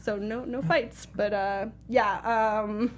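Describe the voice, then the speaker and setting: A woman co-hosting a gaming talk show, telling casual stories in an expressive way and acting out her reactions. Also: medium pitch, slow. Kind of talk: casual chat, anecdote telling.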